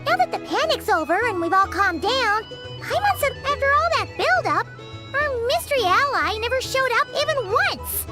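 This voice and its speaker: An actress voicing an excitable, blunt little girl-like companion in a video game. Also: very high-pitched, moderate pace, loud music behind the voice. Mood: excited, indignant.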